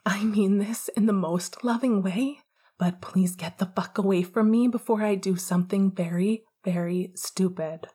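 A woman giving a whisper to an eager young man, hushed and breathy, teasing and playful in tone, with the same airy texture throughout. The lines are read aloud from a storybook-style romance. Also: medium pitch, fairly even intonation, a little slow.

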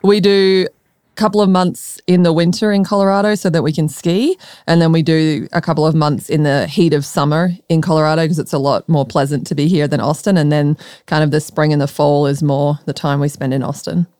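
A woman with an Australian accent, talking informally as a podcast guest and quick to laugh. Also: low-pitched, steady tone, warm tone, clean tone, no room sound, studio quality. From Melbourne, Australia. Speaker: podcast guest, startup founder. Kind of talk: conversational interview.

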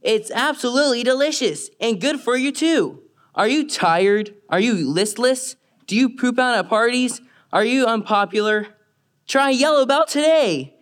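Woman playing a high-voiced mock-commercial announcer. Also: animated, a little slow. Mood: salesy. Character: upbeat mock-commercial announcer peddling ice cream. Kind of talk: parody advertisement read.

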